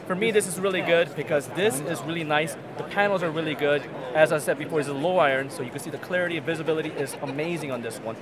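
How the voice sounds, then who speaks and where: A man in his thirties tours a busy expo floor, talking up aquarium gear with eager enthusiasm and jokes at his own expense. His voice sits a little high. A dense crowd murmurs behind him. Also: fast talker, hardly any pauses. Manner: enthusiastic, self-deprecating. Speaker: aquarium channel host. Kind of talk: trade show walkthrough and interviews. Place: busy indoor expo hall. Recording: crowd chatter from a busy hall.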